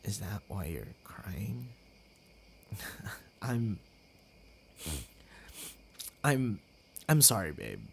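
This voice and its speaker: A man playing a tender, heartbroken young boyfriend in an intimate ASMR roleplay, with halting, stammering delivery and sighs. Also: on the low side, big pitch swings, creaky, slight background noise, full character voice. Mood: apologetic, sad.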